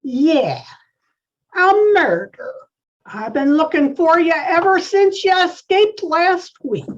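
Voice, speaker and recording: Woman acting a high-pitched brusque police detective. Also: lively intonation, slow, dull audio, heavily acted. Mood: brusque, suspicious. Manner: clipped commands, tough-cop colloquial talk. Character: brusque, suspicious old-time police detective. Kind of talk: old-time radio acting.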